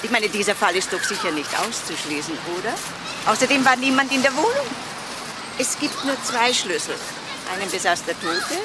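A woman's voice: medium pitch, sing-song, close to an everyday voice. A woman playing a genteel older lady, very noisy recording. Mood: insistent. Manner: formal, deliberate, courteous. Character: genteel, self-assured older lady, politely insistent. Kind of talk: old German TV crime drama acting. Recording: very noisy old soundtrack, traffic ambience.

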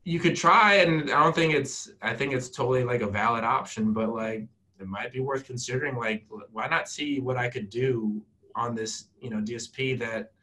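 A young man talking casually on a video call, animated and loose, with lots of fillers. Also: medium pitch, normal speed, poor audio. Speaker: guest from a music data company.

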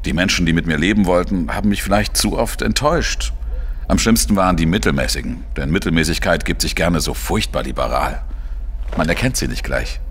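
An actor playing a world-weary male investigator, narrating his case in a measured, introspective way for a crime audio drama. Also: low voice, fairly bright tone, husky. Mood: guarded, reflective.

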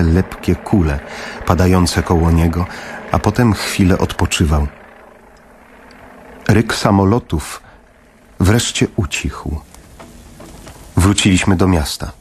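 An actor playing a grave father who narrates in the first person in a radio play, measured and full of pauses. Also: sits low, darkish tone, a little hiss or hum. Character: somber father narrating his family's wartime flight.

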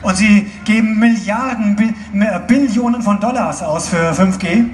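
A young woman giving a loud, declaiming live translation at an outdoor rally through a handheld mic. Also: medium pitch, unhurried. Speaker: interpreter. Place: outdoor stage at a large crowd rally. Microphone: handheld mic, filmed from far in the crowd. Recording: echoey, distant crowd phone recording. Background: cheering crowd and ambient noise.

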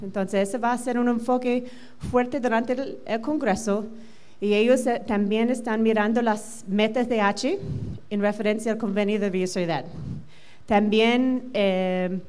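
Middle-aged American woman, native English speaker, presenting at a conference. Fairly high voice, quick and halting delivery. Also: fairly even intonation, darkish tone. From United States. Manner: quick, with many fillers and restarts. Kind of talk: conference talk. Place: large hotel conference room. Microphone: handheld microphone.

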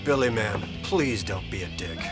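An actor playing a wary, blunt but loyal biker in a video game cutscene. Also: male voice, on the low side, moderate pace, music in the background, lightly acted.

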